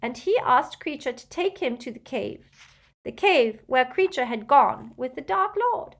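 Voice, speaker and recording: A woman voicing a frightened, servile old male house-elf in an audiobook, tearful and halting as he speaks of himself in the third person. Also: sits high, expressive, creaky, fairly bright tone, pitched above the performer's own voice, full character voice. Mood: haunted, distressed. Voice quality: tearful, moaning, breaking voice.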